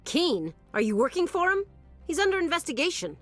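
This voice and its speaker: An actress playing a brash, corrupt security guard in a science fiction game, barking and streetwise. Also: female voice, extremely high pitch, very animated, brisk, fairly bright tone, faint music behind, heavily acted. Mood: commanding, suspicious. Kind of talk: scripted video game dialogue.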